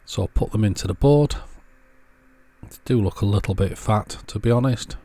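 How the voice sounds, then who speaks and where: A man's voice: on the low side, normal speed, dark tone. A man presenting a casual how-to in a plain, understated, step-by-step way. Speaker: presenter of an electronics repair channel.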